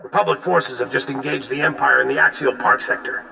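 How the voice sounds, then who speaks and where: Gruff gravelly game general, brisk orders, echoey w music. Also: male voice, mid-range voice, pushed and bright, a little hiss or hum, full character voice. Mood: assured. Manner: brisk, commanding. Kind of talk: video game cutscene dialogue. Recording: echoey, distant, music behind.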